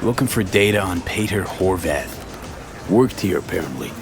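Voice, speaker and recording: Tough game mercenary, low voice, short clipped questions, menacing, music behind. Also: male voice, expressive, normal speed, gravelly. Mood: businesslike. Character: tough, menacing young male mercenary in a dystopian city. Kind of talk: video game dialogue acting.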